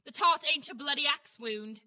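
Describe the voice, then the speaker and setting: A woman's voice: high voice, moderate pace, sharp tone. A woman playing a sassy talking headband interface with a British accent and brash, insulting slang in a sci-fi audio drama. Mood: scornful.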